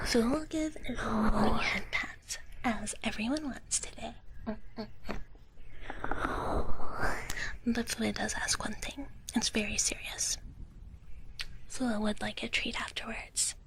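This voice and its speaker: A woman softly whispering close to the mic as a sweet, playful young dragon big sister in live ASMR roleplay, hesitant and bubbly. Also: fairly high pitch, unhurried, very noisy. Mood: soothing, playful. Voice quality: soft, breathy whisper. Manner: sweet, hesitant, bubbly, speaks of herself in third person. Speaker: livestream host. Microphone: close ASMR microphone.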